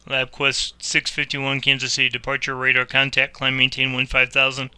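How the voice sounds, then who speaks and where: A man's voice: mid-range voice, monotone, moderate pace. A man playing air traffic controller in a simulator, giving procedural instructions and commenting on his own game. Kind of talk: simulator gameplay commentary and radio phraseology.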